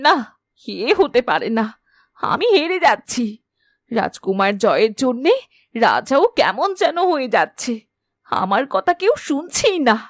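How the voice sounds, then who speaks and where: A woman's voice: on the high side, sing-song, unhurried, no room sound, clean studio sound, exaggerated voice. A woman playing a vain, cruel, imperious queen in a fairy tale cartoon. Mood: bitter, distressed. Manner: imperious, commanding.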